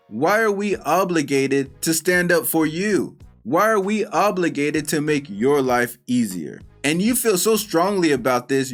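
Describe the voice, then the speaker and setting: A man hosting a reaction video, commenting bluntly and sarcastically on clips. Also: fairly high pitch.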